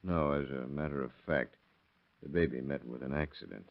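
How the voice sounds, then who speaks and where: A man's voice: very deep voice, vocal fry. A man playing a polite, hesitant police investigator questioning someone in a 1930s radio crime drama. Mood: careful. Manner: hesitant, courteous, measured questioning. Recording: dull lo-fi vintage radio recording.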